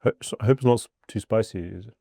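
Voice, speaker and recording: A man's voice: on the low side, very fast, vocal fry, dry recording, clean studio sound. A male podcast host chatting easily with his guest, filling his sentences with lots of "like". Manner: relaxed, many filler likes. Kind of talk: casual chat.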